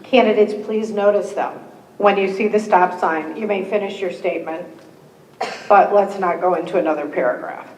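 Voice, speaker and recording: A woman, moderating a candidate forum, reading the rules with friendly joking asides and a slightly hoarse voice. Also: medium pitch, moderate pace, darkish tone, slight background noise. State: slightly hoarse, losing her voice. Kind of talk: reading scripted opening rules with casual asides. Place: meeting room with a live audience. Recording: echoey hall, distant mic.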